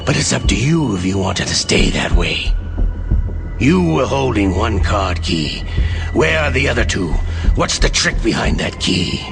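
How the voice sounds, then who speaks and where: Sly, sadistic game interrogator. Low, creaky, mockingly menacing drawl. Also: male voice, sing-song, measured pace, music in the background, exaggerated voice. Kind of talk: dramatic video game acting.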